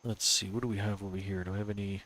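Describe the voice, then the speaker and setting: A somewhat low-voiced man, stuffy and sniffly from a cold. He talks to himself in a loose, casual way, muttering and thinking aloud as he builds. It is relaxed live-stream commentary. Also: very fast.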